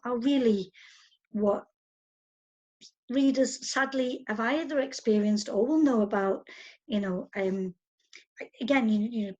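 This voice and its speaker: A woman's voice: medium pitch, darkish tone, slightly rough, rough recording. A woman hosting an author interview, asking long rambling questions full of "you know" and restarts. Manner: rambling, with many fillers and backchannels. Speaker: interviewer host. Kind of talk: conversational interview.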